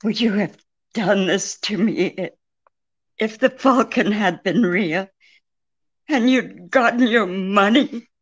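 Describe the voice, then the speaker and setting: An actress playing a desperate, seductive murderess in a 1940s-style radio melodrama, imploring with breathless drama. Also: female voice, medium pitch, slow pace, pauses often, slightly rough, lo-fi sound. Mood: hurt. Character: pleading female murderess, seductive, desperate femme fatale.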